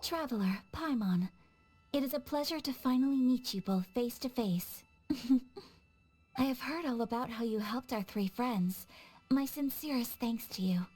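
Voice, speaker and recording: A woman's voice: a little high, moderate pace, darkish tone, slightly rough, faint music behind. A woman playing a gracious, refined young noblewoman in a video game, speaking politely and formally. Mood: gracious, warm. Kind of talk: English video game dub acting.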